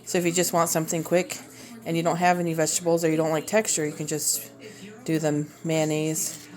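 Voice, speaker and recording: Woman, Minnesota accent, lowish voice, rambling home cooking chat, phone mic in kitchen. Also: completely flat delivery, brisk. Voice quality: rambling, hesitant with many fillers. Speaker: homeschooling mom and cooking vlogger. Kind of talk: casual cooking video. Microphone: phone propped up on the counter.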